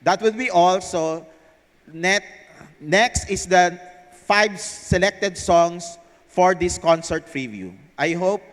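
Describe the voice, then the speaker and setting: A high-pitched male voice with a Filipino accent, heard through long hall reverb. He presents to an audience at a live event, speaking as a host on stage. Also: slow, a bit bright. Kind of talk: scripted narration read aloud to a live audience. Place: large indoor theater hall. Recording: echoey hall over PA sound system.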